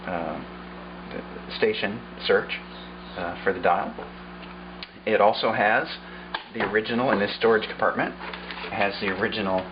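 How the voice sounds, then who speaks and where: Low-voiced man showing an old radio. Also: dull audio, background noise. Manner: relaxed, with frequent "uh" and "um". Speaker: vintage radio collector. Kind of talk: casual show-and-tell narration.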